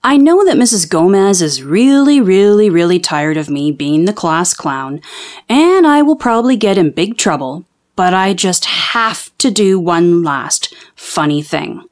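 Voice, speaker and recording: This is a woman voicing a young schoolboy class clown in a storybook. The delivery is very animated, earnest and confessional, with emphatic repeats. The boy sounds like a mischief-maker owning up. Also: on the low side, unhurried. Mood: sheepish, determined.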